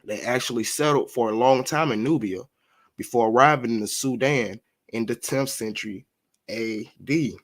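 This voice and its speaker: A man's voice: medium pitch, moderate pace. A man teaching history to listeners he calls family, reading passages aloud, then going back over them with frequent recaps and fillers.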